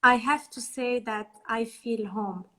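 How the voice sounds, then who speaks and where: High-voiced Lebanese-accented woman guest. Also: slow pace, bright, forceful tone. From born in Liberia, French Lebanese. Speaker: guest coach. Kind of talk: casual chat.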